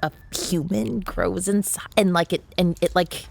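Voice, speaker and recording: A woman's voice: on the low side, steady tone, unhurried, creaky, darkish tone, slight background noise. A woman in her thirties, a podcast guest, chatting casually with wry, teasing humor. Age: 30s. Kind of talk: casual chat.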